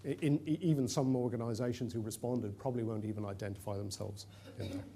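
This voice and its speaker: A man's voice: mid-range voice, steady tone, fast talker, vocal fry, warm tone, slight background noise. A middle-aged man from Ireland with an Irish accent, giving a chatty, self-deprecating talk to an audience in a conference room, with fillers and restarts. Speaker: conference presenter.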